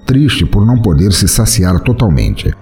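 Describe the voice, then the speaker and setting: Deep, expressive Brazilian male narrator. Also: unhurried, soft background music. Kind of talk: scripted fantasy story narration.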